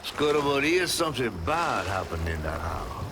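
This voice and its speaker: An actor playing an old, folksy, wry Southern storyteller in a video game, drawling like someone telling a folk tale. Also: male voice, medium pitch, sing-song, normal speed, background noise. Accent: American South. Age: older. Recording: music under the voice. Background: game music.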